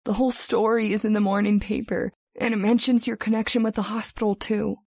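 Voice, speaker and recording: A concerned, doubting woman, played by an actress in an old-time radio play. She is reserved and hesitant, with a creaky edge to her voice. The sound is dry and close. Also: medium pitch, level tone, brisk, a little mellow, no room sound.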